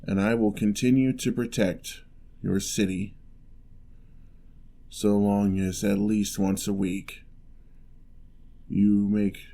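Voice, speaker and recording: A man playing a lonely, gentle male giant in a fantasy ASMR roleplay, speaking in a measured, wry, slightly formal way. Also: on the low side, creaky, exaggerated voice. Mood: deliberate, offering.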